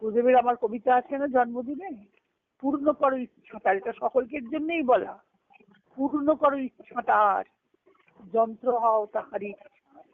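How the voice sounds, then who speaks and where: A woman's voice: a little high, unhurried, pauses often. Woman giving spiritual discourse over tinny phone line. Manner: didactic, repeats key phrases, poses questions and answers them. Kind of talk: devotional lecture explaining scripture. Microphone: conference call phone line.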